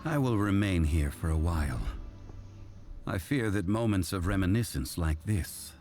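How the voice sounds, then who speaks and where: A deep, solemn ancient god. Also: male voice, animated, darkish tone, faint music behind. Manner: measured, formal, unhurried. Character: ancient male god, calm, solemn, dignified. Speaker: game character. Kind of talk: video game dialogue.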